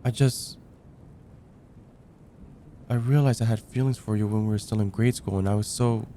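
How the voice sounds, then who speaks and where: A man playing a lovestruck young heartbreaker friend in an ASMR roleplay, speaking in an earnest, halting, stammering way. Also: lowish voice, fairly even intonation, mellow, a little hiss or hum, natural voice. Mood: regretful, vulnerable. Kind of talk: ASMR roleplay, intimate dramatic monologue.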